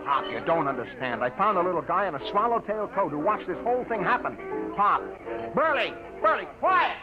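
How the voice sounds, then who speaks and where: A man playing a brash, excitable newspaper reporter in a 1950s radio drama. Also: sits high, a little fast. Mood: urgent. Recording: dull vintage radio recording with music.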